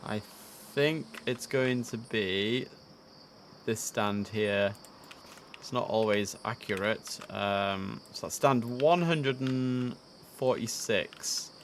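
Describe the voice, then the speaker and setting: Low-voiced man streaming with noisy background. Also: takes it slowly. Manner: chatty, musing aloud. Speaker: live streamer, host. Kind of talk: casual live gameplay commentary on an air traffic control simulator.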